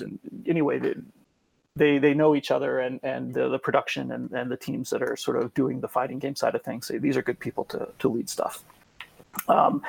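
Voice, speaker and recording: A man's voice: on the high side, vocal fry, dry recording. A man speaking as a podcast guest, explaining at length in a casual way, with frequent ums and self-corrections. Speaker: guest expert.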